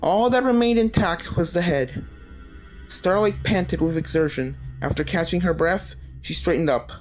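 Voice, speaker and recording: A woman's voice: fairly low pitch, normal speed, a little mellow, faint music behind. A woman reading a scripted story aloud as a dramatic narrator, telling the tale in character-driven prose. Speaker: fan fiction narrator.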